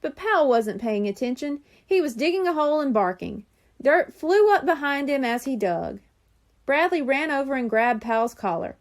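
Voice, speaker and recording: Female storybook reader, high voice, lively and expressive delivery for young listeners. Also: moderate pace. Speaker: reader of a children's chapter book. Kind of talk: expressive storybook read-aloud.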